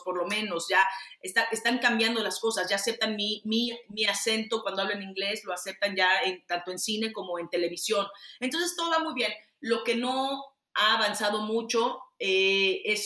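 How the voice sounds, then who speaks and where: Mexican actress in an echoey room. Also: female voice, medium pitch, bright, forceful tone. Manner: candid, emphatic, frequent restarts and fillers. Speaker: actress and producer. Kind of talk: interview answers.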